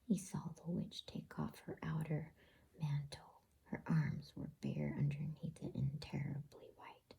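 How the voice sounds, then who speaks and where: A woman whispers a storybook in ASMR style, very breathy and low in pitch. Her delivery is hushed and slow, as a bedtime reading. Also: little pitch movement. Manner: soft, unhurried, hushed.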